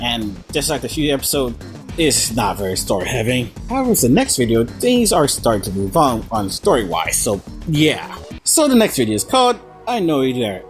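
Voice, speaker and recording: A man giving informal video review commentary in a sarcastic, casually sweary manner. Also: mid-range voice. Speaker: video essayist. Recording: background music under voice. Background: music.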